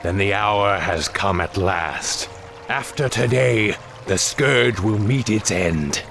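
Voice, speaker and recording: An actor playing a proud, vengeful demon hunter villain in a fantasy game, dramatic and menacing, with a gravelly voice. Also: male voice, fairly low pitch, takes it slowly, a bit bright, soft background music, exaggerated voice. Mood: menacing, exultant. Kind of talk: fantasy video game acting.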